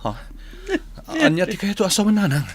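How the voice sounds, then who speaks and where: A man's voice: high voice, big pitch swings, fairly quick. An actor playing an anxious grown son questioning his mother in a radio drama. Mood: alarmed. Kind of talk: radio drama acting in Ilocano.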